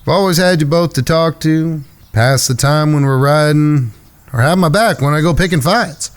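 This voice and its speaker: A man's voice: fairly high pitch, normal speed, clear, resonant tone, natural voice. A man playing an earnest, protective younger brother in a cowboy gang, plainspoken and folksy, in a western audio drama. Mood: pensive, worried.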